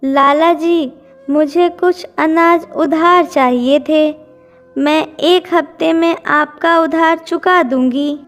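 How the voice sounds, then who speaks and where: Woman acting a cartoon mother bird, very high voice, slow delivery, humble, earnest, pleading. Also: steady tone, a bit bright, soft background music. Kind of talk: Hindi children's cartoon dubbing.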